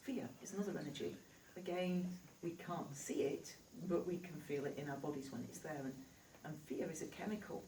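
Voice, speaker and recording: A woman's voice: sits low, a little fast, hardly any pauses, dark tone, recorded from a distance, a little hiss or hum. A woman with a Yorkshire accent, a healer giving a chatty, reassuring talk to a live audience. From Yorkshire, England. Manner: chatty, reassuring, laughs easily. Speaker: spiritual healer. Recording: echoey hall recorded from afar.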